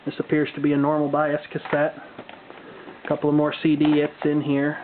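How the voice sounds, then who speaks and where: Man, slightly high-pitched, casual hobbyist commentary, dry humor, folksy phrasing, narrow phone-like sound. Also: completely flat delivery, fairly quick. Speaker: hobbyist video presenter. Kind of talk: unscripted casual show-and-tell commentary. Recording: muffled phone-like audio with background noise.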